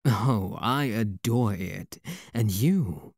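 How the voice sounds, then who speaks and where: A man plays a suave demon prince in a romantic ASMR drama, speaking low and unhurried in a close, dry sound. His delivery is courtly and teasing, swinging widely in pitch as he flirts with mock menace. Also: animated, creaky, a little mellow, no echo, full character voice. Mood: playful, seductive.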